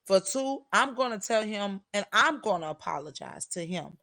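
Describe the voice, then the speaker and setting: A woman's voice: medium pitch, expressive, moderate pace, vocal fry, pushed and bright, dry recording, studio quality. A woman in her thirties, a teacher, talking bluntly and profanely in a heated casual discussion. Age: 30s.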